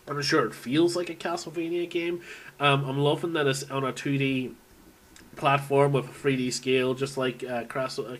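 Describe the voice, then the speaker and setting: A man giving a casual, unscripted monologue as a fan, rambling and thinking aloud as he goes. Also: a little high. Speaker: video game fan recording an audio log.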